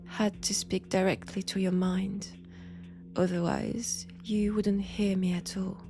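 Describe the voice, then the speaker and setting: A woman with a French accent playing a menacing, seductive female vampire in an intimate roleplay audio drama, softly taunting and often whispering. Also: mid-range voice, little pitch movement, takes it slowly, over music. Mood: soothing, smug.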